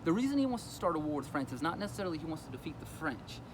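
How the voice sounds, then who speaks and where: A man's voice: a little high, very animated, very fast, noisy background. A man explaining a history lesson in a casual, conversational way, with fillers as he goes. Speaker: teacher.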